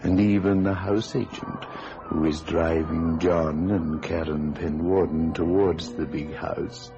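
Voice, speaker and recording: An ominous horror storyteller with an extremely deep, gravelly voice. He narrates a radio thriller with sardonic deliberation. The recording is dull, with music underneath. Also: fairly even intonation, takes it slowly, heavily acted. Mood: ominous, wry. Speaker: narrator and series announcer.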